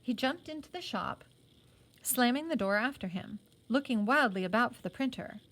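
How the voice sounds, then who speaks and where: A woman's voice: a little high, lively intonation, moderate pace, fairly bright tone. A woman reading a children's novel aloud as a teacher, expressively and with simple explanations.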